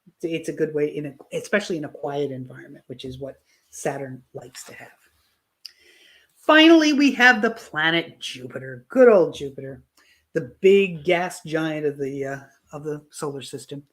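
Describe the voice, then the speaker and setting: A woman's voice: sits low, expressive, moderate pace. Woman astrologer losing her voice. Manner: explanatory, with frequent restarts and fillers. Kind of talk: live online astrology talk. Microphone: video call.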